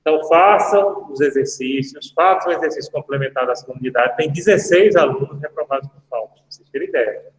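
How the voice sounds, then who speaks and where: Guy lecturing, bit high voice, chatty tangents, roomy echo. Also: fairly quick. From Brazil. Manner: chatty, anecdotal, jokey asides to students. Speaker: law professor. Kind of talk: casual online lecture. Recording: echoey room with long reverb.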